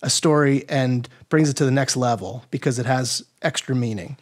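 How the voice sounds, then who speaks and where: Man explaining things, mid-pitched voice. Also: moderate pace. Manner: agreeable, explanatory, poses rhetorical questions. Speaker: guest expert, audio drama maker. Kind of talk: casual explanatory interview talk.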